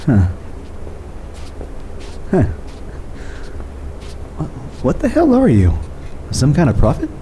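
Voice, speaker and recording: A man acting in an audio drama as a guilt-ridden, defensive skeptic, with halting, hesitant delivery and dry sarcasm. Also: on the high side, huge pitch swings, mellow, a little hiss or hum, full character voice, poor audio. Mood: wryly puzzled.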